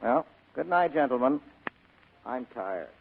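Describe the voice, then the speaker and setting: A man's voice: mid-range voice, very animated, slow pace, gravelly, slight background noise, exaggerated voice. A man playing a wry, tough, cynical private detective in a 1940s radio drama, slangy and sardonic. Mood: wry, weary. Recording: narrow, tinny old radio broadcast.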